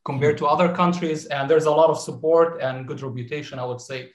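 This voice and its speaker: A man's voice: a little high, fairly even intonation, a little fast, roomy sound. A man in his late twenties with a Syrian accent and Arabic as his first language, talking as a guest in a conversational interview. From southern Syria. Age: late 20s. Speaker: podcast guest.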